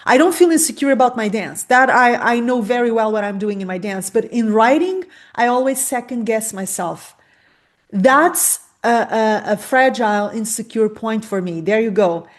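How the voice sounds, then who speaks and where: A woman's voice: fairly high pitch, normal speed. A woman who grew up speaking Portuguese and Spanish, hosting a podcast interview and talking openly and expressively. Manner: expressive, talks through her feelings openly. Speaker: podcast host. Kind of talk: conversational interview.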